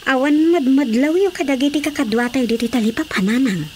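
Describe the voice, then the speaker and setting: A woman's voice: high-pitched, brisk, noisy background, lightly acted. A woman playing a chatty, practical market stallholder in an Ilocano radio drama comedy. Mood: gossipy.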